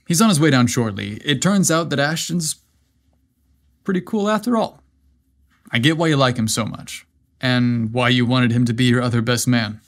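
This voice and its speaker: A man's voice: medium pitch, moderate pace, lightly acted. A man playing an earnest young best man. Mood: confiding.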